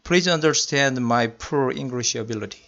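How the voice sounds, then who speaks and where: A man with a Korean accent, a senior electrical engineering manager, giving a slide-presentation lecture in careful, deliberate non-native English. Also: medium pitch, vocal fry, a bit bright. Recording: muffled lo-fi voice-over.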